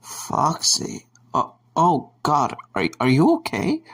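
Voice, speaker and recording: A man playing a wry, concerned young man in an audio drama, speaking in a low-key, halting way. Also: wildly swooping pitch, slightly rough, exaggerated voice. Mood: worried.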